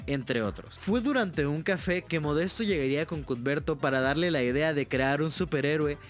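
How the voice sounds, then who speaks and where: A man's voice: fairly high pitch, moderate pace, faint music behind. A man delivering scripted documentary narration as a video essayist.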